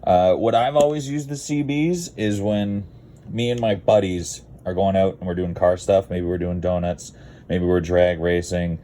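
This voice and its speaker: A man's voice: sits low, steady tone, normal speed, dark tone, a little hiss or hum. A Canadian man giving a casual, rambling how-to vlog in a laid-back, blunt, digressive way. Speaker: car video creator.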